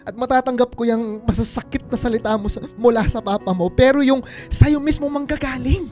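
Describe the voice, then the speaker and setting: A woman's voice: fairly high pitch, a little fast, darkish tone, faint music behind, close to an everyday voice. A woman acting a hurt, suspicious Filipino husband in a radio drama, pleading and reproachful. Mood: accusing, pleading. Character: wounded, suspicious husband, a struggling small-shop mechanic.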